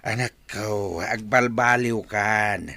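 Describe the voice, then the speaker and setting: A man's voice: on the low side, steady tone, slow pace, sharp tone, no echo, exaggerated voice. A man with a northern Philippine accent playing an elderly village father who frets and admonishes in a comedy radio drama. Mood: fretful, advising. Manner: scolding, advising.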